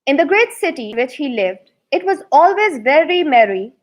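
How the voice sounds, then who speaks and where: A woman reading a fairy tale aloud to children with deliberate, expressive emphasis. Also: high voice. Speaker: children's storyteller.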